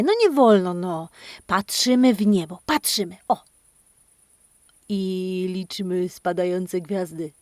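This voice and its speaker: A woman hosting a children's podcast, telling a playful interactive story in an animated, exclamatory way, speaking straight to kids and a dog. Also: medium pitch, dry recording, studio quality. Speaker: host of a children's speech-exercise podcast.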